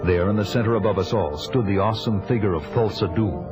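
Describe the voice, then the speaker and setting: A man's voice: lowish voice, quick pace, natural voice. An actor playing a vengeful barbarian warrior who solemnly narrates his own quest in an audio drama. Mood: grave, narrating. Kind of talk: scripted first-person audio drama narration. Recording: music behind the voice.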